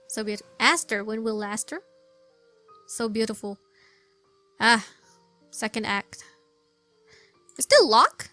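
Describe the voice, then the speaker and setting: High-voiced woman chatting through a game. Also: fairly bright tone. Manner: muttering to self, repeating phrases. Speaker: gaming streamer. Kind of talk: casual gameplay commentary. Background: game music.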